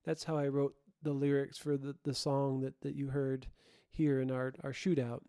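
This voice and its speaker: A man's voice: medium pitch, monotone, moderate pace, mellow, smooth, dry recording, clean studio sound. A man presenting an informal tutorial, thinking aloud and often correcting himself as he explains. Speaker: host, audio production tutorial presenter.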